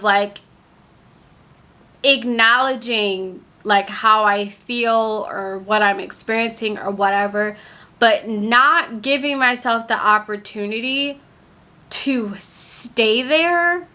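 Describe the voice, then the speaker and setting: A young woman chats straight to her camera on a stand in an easygoing heart-to-heart. Her tone is bright and forward, and she takes her time, with plenty of filler words along the way. A leftover cold leaves her sounding a touch congested. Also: a little high, takes it slowly. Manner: chatty, candid, many fillers like "like". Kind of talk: casual heart-to-heart vlog.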